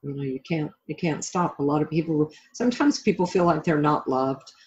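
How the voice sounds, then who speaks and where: A woman's voice: sits low, brisk, a little mellow, dull audio. A middle-aged woman being interviewed as a life coach and author, talking reflectively and conversationally in an encouraging way.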